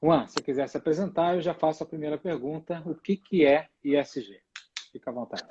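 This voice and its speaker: A man's voice: on the high side, brisk, dark tone, muffled recording, a little hiss or hum. A middle-aged man hosting a casual online talk, loose and full of fillers and tag questions. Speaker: host.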